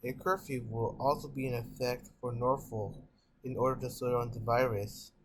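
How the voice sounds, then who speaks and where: A man's voice: medium pitch, steady tone, normal speed, background noise, natural voice. A man playing a serious breaking news anchor, reading a scripted report.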